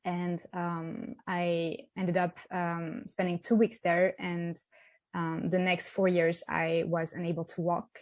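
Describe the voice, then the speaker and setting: A woman's voice: lowish voice, little pitch movement, slow, darkish tone, dry recording. Guest, French woman, 30s, hesitant storyteller, dry recording. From south of France. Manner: hesitant, many ums and restarts. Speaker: guest, app founder. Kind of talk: interview conversation. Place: outdoors by the sea.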